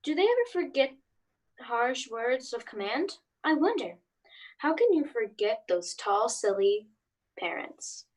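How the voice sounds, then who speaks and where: A woman's voice: fairly high pitch, sing-song, a little slow. A woman playing an anxious, hesitant suburban housewife and mother in a radio play. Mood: brooding. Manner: hesitant, brooding, theatrical.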